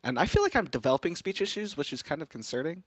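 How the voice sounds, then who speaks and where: A man's voice: on the high side, sing-song, fast talker, no room sound, highs cut off. Laughing young man in casual chat. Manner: laughs often, fumbles and restarts words. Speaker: podcast co-host and streamer. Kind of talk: casual chat among friends.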